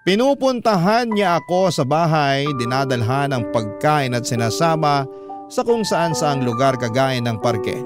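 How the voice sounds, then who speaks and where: Isang lalaking aktor na gumaganap bilang binatang nagbabalik-tanaw sa kanyang pag-ibig sa isang dramang panradyo. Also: male voice, mid-range voice, natural voice. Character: wistful young man recounting his love story. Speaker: narrator of a radio love story. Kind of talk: Tagalog radio drama first-person narration. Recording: music playing clearly behind the voice. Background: music.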